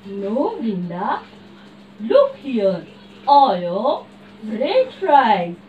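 Woman doing sly low french fries. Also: wildly swooping pitch, extremely slow, roomy sound, phone-quality sound, slight background noise, full character voice. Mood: tempting. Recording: distant room mic.

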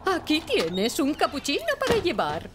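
A woman voicing a fussy, excitable mother who runs a shop in a dubbed cartoon, exclaiming and scolding. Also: high voice, moderate pace, very noisy. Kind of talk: cartoon dubbing.

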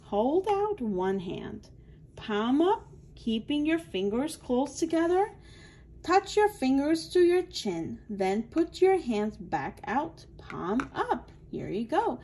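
A woman's voice: high voice, very animated, takes it slowly, mellow. A woman reading aloud to young children in an instructional way, adding her own asides and stretching out key words. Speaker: children's book reader.

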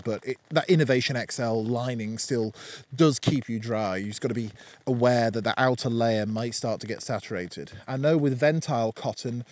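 A British man giving an informal gear review to camera in a measured, explanatory way. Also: medium pitch. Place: outdoors in damp woodland, under a tarp and by a campfire.

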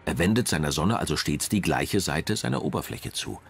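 A man's voice: a bass voice, lively intonation, fairly quick, gravelly. A man reading scripted narration as a documentary narrator.

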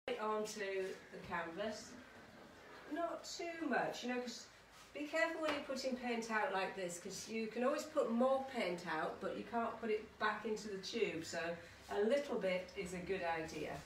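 A middle-aged woman giving a casual art demonstration for children, chatty and encouraging, often checking in with her listeners. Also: medium pitch. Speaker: artist leading a children's art workshop. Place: small conservatory-style home studio. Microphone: camera or phone a short distance away. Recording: roomy, distant mic, some background noise.

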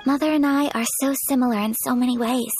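A young woman voicing a gentle, composed and earnest noblewoman with a soft, polite, measured manner in a video game. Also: high voice, steady tone, slight background noise, natural voice.

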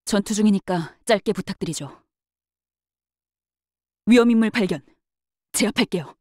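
A young swordswoman bodyguard from a video game, acted by a woman, speaks in a soft-edged, mellow tone at a middle pitch. She is curt yet polite and dryly sardonic. The sound is close and dry, with no room on it. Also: normal speed, no room sound, close to an everyday voice. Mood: firm, alert. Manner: polite but curt, matter-of-fact, faintly sardonic. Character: brisk, dry-witted young woman knight-bodyguard with a greatsword. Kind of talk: scripted game character voice lines.

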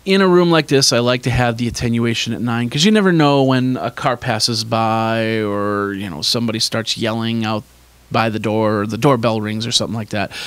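A man's voice: medium pitch, steady tone, moderate pace, fairly bright tone, clean tone, dry recording. A middle-aged man with a boisterous voice, speaking into a handheld mic in a small home studio and rambling casually with lots of restarts as he demonstrates gear. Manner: rambling, many restarts and fillers. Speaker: tech video host. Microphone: handheld dynamic mic held near the mouth.

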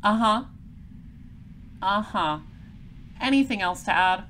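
An actress plays a female space patrol detective in an audio drama. She questions people politely and formally, with measured persistence, in a middle register. Faint noise sits behind her. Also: mid-range voice, animated, fairly bright tone. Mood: probing. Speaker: lead detective and narrator.

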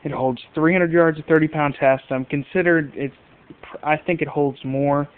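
Male hobby vlogger, casual unscripted comparisons, smooth clear voice, tinny narrow-band camera audio. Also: fairly high pitch, fairly even intonation, moderate pace. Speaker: fishing video host. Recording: muffled phone-like amateur camera audio.

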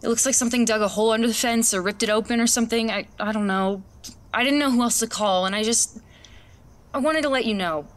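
A woman's voice: on the high side, natural voice. A woman acting in a horror audio drama as a frightened, hesitant woman, with music under her voice. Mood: worried, apologetic. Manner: halting, hesitant delivery. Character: frightened woman recounting a disturbing experience, searching for her dog. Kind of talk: horror audio drama, narration and acted scenes.

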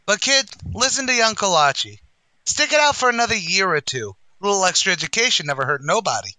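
A man plays a bluffing, boastful tavern manager in old-time radio comedy style. His voice is high and very sharp, swooping through folksy, mangled-grammar patter. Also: big pitch swings, fast talker, no echo, full character voice, rough recording. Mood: hearty, avuncular. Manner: folksy, ungrammatical, bluffing wise-guy delivery. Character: blustering, boastful, uneducated tavern manager uncle.

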